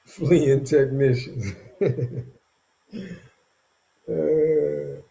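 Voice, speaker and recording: A man hosting a casual livestream, reacting to viewer comments in an animated, slangy and mocking way. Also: fairly high pitch, drawn-out words, creaky, low-quality recording. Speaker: streamer reacting to viewer chat.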